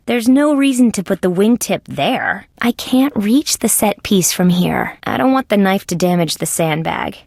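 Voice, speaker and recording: A woman's voice: on the low side, vocal fry, a little mellow, no echo, studio quality. A woman voicing a tough, wisecracking female insect police detective in a video game, with a wry, sardonic delivery. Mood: matter-of-fact. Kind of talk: scripted game dialogue, detective banter.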